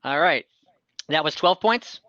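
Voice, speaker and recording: A game master running an online tabletop game, helpful and checking the rules out loud. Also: mid-range voice, expressive, unhurried, dry recording. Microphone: headset or desk mic on a video call. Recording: dull online call audio.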